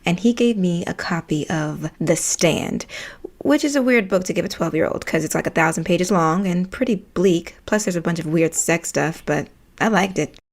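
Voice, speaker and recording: Young neighbor in an audio comedy, slightly low voice, friendly, earnest, chatty monologue. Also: female voice, moderate pace. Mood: reminiscing. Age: young adult. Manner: conversational, earnest.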